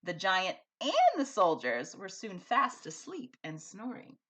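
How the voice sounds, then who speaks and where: Bright, very animated woman teacher. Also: medium pitch, measured pace. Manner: animated, emphatic. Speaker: elementary school teacher. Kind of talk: expressive storybook read-aloud and lesson.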